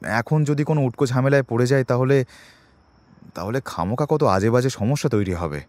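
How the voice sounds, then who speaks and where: A man's voice: mid-range voice, brisk, a little mellow, close to an everyday voice. An actor in an audio drama playing a defensive middle-class man who explains himself at length to the police, mixing in English words. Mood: defensive, uneasy. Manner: wordy, self-justifying, mixes in English words.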